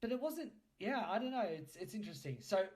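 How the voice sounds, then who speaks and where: A man's voice: high voice, very animated, fairly quick, a little mellow. A man hosting a podcast, chatting casually and asking questions as an interviewer. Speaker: podcast host.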